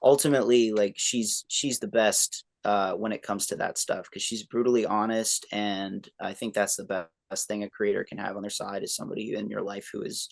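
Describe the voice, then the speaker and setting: A man's voice: fairly low pitch, fairly even intonation, moderate pace, creaky, hardly any pauses. A man in his thirties, a musician guest, talking casually with many fillers and restarts. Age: 30s. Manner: rambling, self-deprecating, many fillers and restarts. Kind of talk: casual interview chat.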